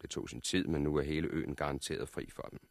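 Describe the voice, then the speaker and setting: Confident pilot and envoy of a survivor colony: very low voice, dry close sound, courteous, matter-of-fact 1960s radio drama delivery. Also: male voice, fairly even intonation, vocal fry, dry recording. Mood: courteous, businesslike.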